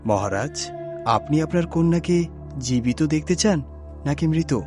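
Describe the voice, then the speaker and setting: A brave young fairy-tale guard voiced by a man, fairly high in pitch. He speaks in earnest exclamations, with clear music playing underneath. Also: expressive, unhurried. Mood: confident. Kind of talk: cartoon story voice acting.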